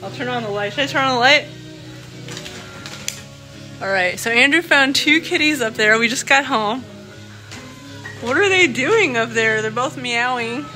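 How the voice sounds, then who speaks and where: A young woman chatting casually to camera as a vlogger, excitable and playful with her exclamations. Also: a little high, measured pace, pauses often, soft background music, rough recording. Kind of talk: casual vlog chat to camera.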